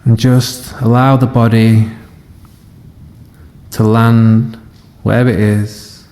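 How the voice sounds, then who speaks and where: Male meditation teacher, mellow and very slow, gentle phrasing with long pauses, recorded in a reverberant hall. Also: mid-range voice, level tone. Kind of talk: guided body scan meditation. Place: live session in a reverberant room.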